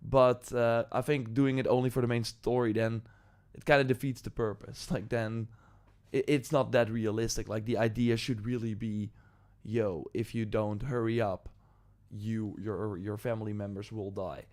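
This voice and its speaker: A man's voice: fairly low pitch, flat delivery, normal speed, a little mellow. A man with a Dutch accent, a native Dutch speaker, hosting a live stream, reading viewer comments and rambling loosely with many ums and likes. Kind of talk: casual live-stream talk, reading chat.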